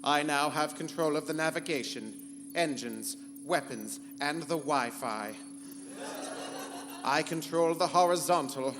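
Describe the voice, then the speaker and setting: A man acts out a grandiose rogue spaceship computer with a slightly high voice and a petulant streak. It is a live sci-fi radio play in a reverberant hall, with music clearly behind. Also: unhurried, close to an everyday voice. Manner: grandiose, pompous. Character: haughty, theatrical rogue ship computer with petulant temper. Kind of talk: live sci-fi comedy radio play in pulp serial style.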